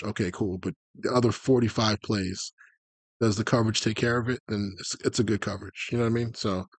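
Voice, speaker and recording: A man's voice: medium pitch, darkish tone, dry recording. A man giving a casual live-stream lecture on video game tactics, informal and conversational, wandering into tangents as he explains.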